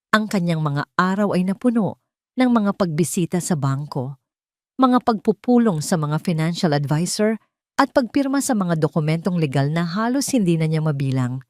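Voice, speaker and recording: A woman reading scripted story narration with a measured, dramatic delivery. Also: lowish voice, darkish tone, dry recording, clean studio sound.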